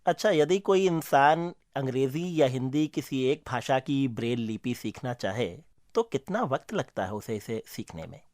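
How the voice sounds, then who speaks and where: A man asking questions as a radio interviewer, in a clear, structured way. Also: medium pitch, animated, normal speed, darkish tone, no room sound, clean studio sound.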